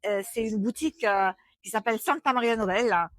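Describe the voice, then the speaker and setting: A woman's voice: fairly high pitch, fairly quick, no echo, clean studio sound. A woman from Rome, a native Italian speaker, chatting in a familiar, anecdotal way as she conducts a literary interview. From Rome, Italy.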